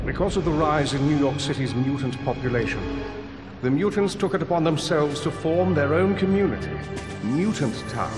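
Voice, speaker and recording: A solemn, idealistic older mentor from a video game played by a man, speaking with measured, formal earnestness. The mid-pitched voice sits over loud music. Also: natural voice.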